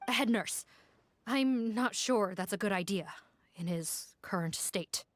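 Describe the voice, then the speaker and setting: A woman playing a stern, cautious investigator in a video game, speaking in a measured, serious, reproving way. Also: mid-range voice, fairly bright tone. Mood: wary.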